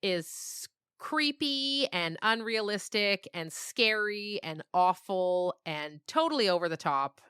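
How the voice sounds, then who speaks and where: A woman's voice: medium pitch, expressive, slow pace, few pauses, fairly bright tone, clear, resonant tone, dry recording, studio quality. A woman chatting and telling anecdotes in a dramatic, emphatic conversational style. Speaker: podcast guest, children's writer. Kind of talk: animated conversational storytelling.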